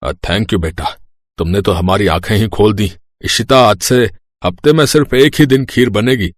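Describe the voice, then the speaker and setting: A man's voice: lowish voice, normal speed, no echo, studio quality, close to an everyday voice. A man playing a genial older father-in-law in a moral story. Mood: grateful. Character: jovial older father-in-law who loves sweets.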